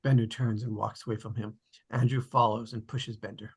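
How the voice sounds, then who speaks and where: A man's voice: fairly low pitch, level tone, a little fast, slightly rough. A man narrating, reading out stage directions at a script table read.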